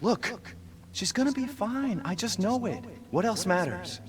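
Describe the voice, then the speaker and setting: A man acting an anxious, protective young husband and father in a video game, tense and quick to anger. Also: sits high, big pitch swings, heavy background noise. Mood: concerned, frustrated. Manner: tense, emotional, swinging from muttered to shouted. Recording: music behind the voice.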